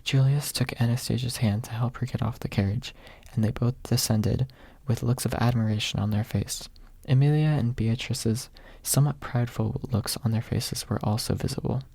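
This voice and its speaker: Male audiobook reader for ASMR, mellow and gravelly, recorded close and dry. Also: mid-range voice, steady tone, normal speed, no room sound. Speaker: audiobook narrator.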